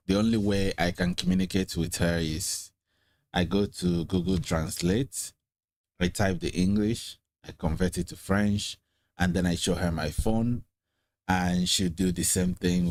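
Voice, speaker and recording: Man, Igbo speaker, low voice, unhurried, soft-spoken interviewer. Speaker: host. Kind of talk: casual interview conversation.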